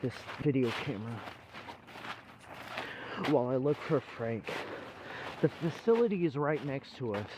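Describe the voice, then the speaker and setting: A man's voice: medium pitch, lightly acted. Man playing an infected ex-company man, uneasy and rambling, horror found footage, noisy handheld camera outdoors. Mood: grim, searching. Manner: halting, rambling, repeats himself. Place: outdoors in woods, walking. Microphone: handheld video camera.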